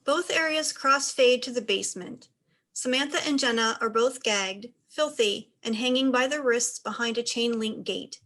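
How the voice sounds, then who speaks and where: High-pitched woman reading stage directions. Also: moderate pace, a bit bright. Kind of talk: scripted narration.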